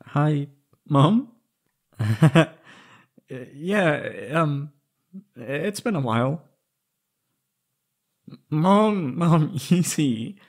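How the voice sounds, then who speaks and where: A young man acting an anxious, wistful former captive in a scripted roleplay audio drama, speaking hesitantly and haltingly. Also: a little high, expressive, heavily acted. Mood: awkward, sheepish.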